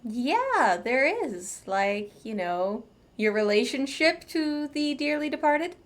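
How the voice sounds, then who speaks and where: A woman's voice: high-pitched, big pitch swings, takes it slowly. A woman giving casual, playful commentary as she plays through a video game. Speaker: gaming streamer. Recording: faint game music behind.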